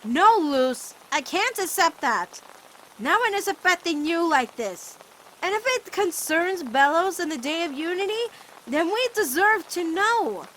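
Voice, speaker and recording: A woman voicing an anguished, devoted teenage girl in an emotional cartoon fan dub, with dramatic, halting, pleading delivery. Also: very high-pitched, big pitch swings, fairly bright tone, a little hiss or hum, exaggerated voice, poor audio. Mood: firm, pleading. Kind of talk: emotional animated-series fan comic dub.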